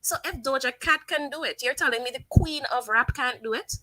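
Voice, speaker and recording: A woman hosting a casual live stream, giving her opinions and reading viewers' comments aloud in a chatty, thinking-out-loud way. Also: high voice, fairly quick, no echo. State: sniffly from sinus trouble. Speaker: livestream host.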